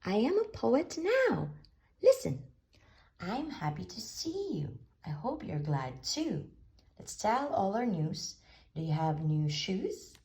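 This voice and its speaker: A woman's voice: mid-range voice, big pitch swings, slow, creaky, warm tone, pitched above the performer's own voice, heavily acted. A woman voicing an earnest, eager male pig who loves rhymes, declaiming as in a storybook. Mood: eager, proud.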